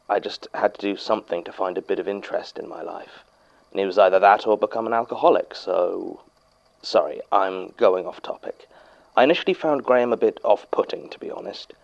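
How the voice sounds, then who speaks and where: An actor with a British accent playing an archivist who reads a witness's unsettling statement aloud in a measured, confiding horror-drama narration. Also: male voice, low-pitched, vocal fry, muffled recording. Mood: dry, conversational, then wry, reflective. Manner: measured, confiding, with scripted hesitations.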